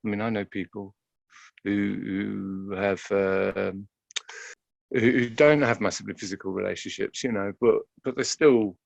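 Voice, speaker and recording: A man with a low voice gives reflective, informal interview answers. He searches for his phrasing, stopping and repeating words as he goes. The sound is very dry, with no room around it. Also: steady tone, measured pace, gravelly, no echo, dull audio. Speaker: interview guest, actor.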